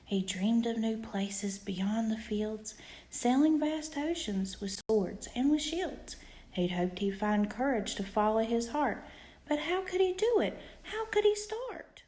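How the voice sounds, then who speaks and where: A woman reading a rhyming bedtime storybook aloud to a child in an expressive, rhythmic way. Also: a little high, a little slow. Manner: rhythmic, animated read-aloud with emphatic questions.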